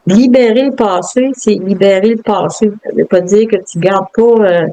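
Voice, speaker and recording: A woman with a Quebec French accent, reflective and halting, with many fillers and restarts as she talks. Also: mid-range voice, mellow, low-quality recording. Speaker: guest. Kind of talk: casual interview talk.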